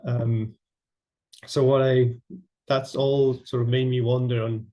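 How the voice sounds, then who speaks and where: A young man from Latvia presenting his architecture project, halting with many fillers and restarts. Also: medium pitch, level tone, dark tone, muffled recording. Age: young adult. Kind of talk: online presentation.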